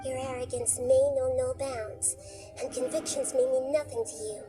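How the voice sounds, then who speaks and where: A woman voicing a small, wise young goddess in a video game, composed and resolute, with deliberate formal speech. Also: high-pitched, steady tone, lightly acted. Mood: resolute, reproving. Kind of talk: dramatic video game voice acting. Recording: music under the voice.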